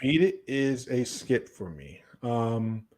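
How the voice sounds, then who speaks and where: A man in his late forties with a medium-pitched voice co-hosts a casual podcast. He argues his opinions emphatically and often circles back to repeat a point for weight. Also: big pitch swings, slow pace, a little mellow. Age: late 40s. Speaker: podcast co-host. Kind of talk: casual music debate.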